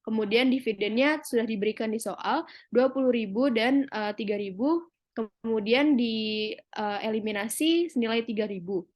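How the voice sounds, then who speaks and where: Young woman doing a student presentation, voice a bit high, stops and hesitates a lot while explaining. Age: young adult. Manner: halting, frequent hesitation pauses.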